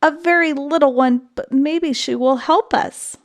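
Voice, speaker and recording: Lady doing a little toy clown for a kids story, high pitched, perky, hopeful, calling out. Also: measured pace. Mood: eager, hopeful. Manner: bright calling out, eager.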